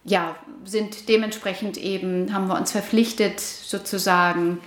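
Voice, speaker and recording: A woman in her forties, born in Iran, answering interview questions thoughtfully with many pauses and restarts. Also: medium pitch, steady tone. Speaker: interviewee, housing project resident. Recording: roomy echo.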